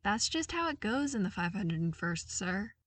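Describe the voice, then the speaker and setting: A woman's voice: medium pitch, very animated, normal speed, a bit bright, no echo, far from the mic. A woman voicing a dutiful, matter-of-fact male soldier in a story, formal and earnest. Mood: matter-of-fact, fond.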